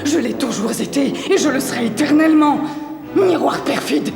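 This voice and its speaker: A woman playing a vain, cruel queen in an animated film, imperious and theatrically menacing. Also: high voice, moderate pace, creaky. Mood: outraged. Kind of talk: French animated film voice acting. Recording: echoey reverberant hall, music behind.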